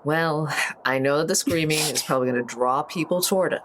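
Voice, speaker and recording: A woman playing a tabletop roleplaying game, chatting casually and playfully thinking her moves out loud. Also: sits low, brisk, a little hiss or hum.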